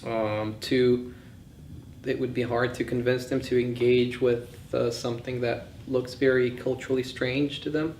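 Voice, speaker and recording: A man lectures informally in a medium-pitched voice, offering firm opinions as he thinks aloud. The room is echoey, with faint noise behind him. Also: little pitch movement, unhurried, a little mellow. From born in Bulgaria, grew up in several countries. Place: indoors in a session room.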